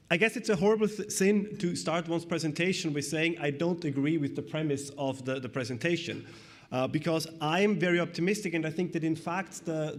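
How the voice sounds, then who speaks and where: A man with a cold giving a wry, joking conference panel talk, with some hesitations. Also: fairly high pitch. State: has a cold. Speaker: panel speaker. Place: conference hall.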